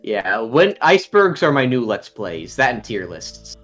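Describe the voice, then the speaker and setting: A man's voice: mid-range voice, big pitch swings, a little slow, a bit bright, faint music behind. A young man with a nasal voice, chatting casually on a live stream, rambling with many ums and restarts. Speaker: stream co-host.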